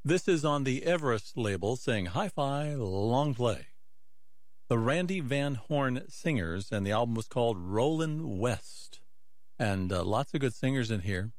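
A man's voice: medium pitch, expressive, unhurried, no echo, clean studio sound. A man hosting a music radio show, chatting informally and casually as he introduces old records. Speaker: radio host.

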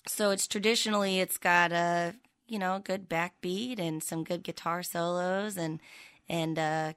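A woman country singer from the American Midwest, with a lower speaking voice, telling stories chattily with many fillers. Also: fairly even intonation, unhurried, a bit bright, clean tone, dry recording, clean studio sound. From Midwestern United States. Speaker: country singer, interview guest. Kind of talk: conversational interview.

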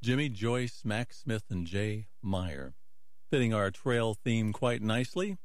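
A man's voice: on the low side, very animated, slow pace, dry recording, clean studio sound. A man hosting a music radio show, chatting informally and casually as he introduces old records. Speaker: radio host.

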